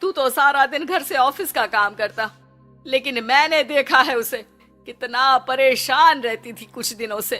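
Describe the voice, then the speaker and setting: A woman's voice: sits high, a little slow, bright, forceful tone, faint music behind, voice pushed up. A woman voicing a doting, concerned middle-aged mother-in-law in a storybook tale, coaxing and affectionate. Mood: reassuring.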